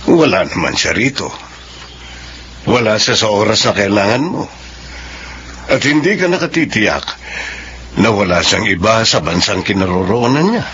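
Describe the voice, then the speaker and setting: Creepy scheming boss in a radio drama, low slow voice, insinuating, kinda muffled. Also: male voice, sing-song, fairly bright tone, slight background noise. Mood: persuasive, insinuating. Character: lecherous, scheming male boss pursuing married employee.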